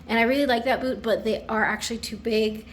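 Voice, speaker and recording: A young woman chatting casually to her camera at home, rambling with fillers and dropping into whispered asides, her voice a little raspy. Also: on the high side, fairly even intonation, fairly quick, a little hiss or hum. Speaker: vlogger.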